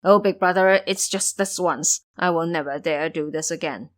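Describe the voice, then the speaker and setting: A woman's voice: lowish voice, normal speed, lightly acted. A woman voicing a cowardly, pleading male pig-monster in an audio story, protesting and exclaiming.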